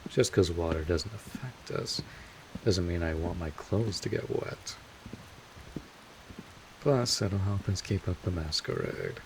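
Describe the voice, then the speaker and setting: Low, slow-talking streetwise vampire mentor, played by a man. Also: very animated, vocal fry, slight background noise, full character voice, poor audio. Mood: exasperated, protective. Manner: rambling, informal, mildly exasperated, explanatory. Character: gruff but caring streetwise male vampire mentor. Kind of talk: scripted audio roleplay addressed to the listener.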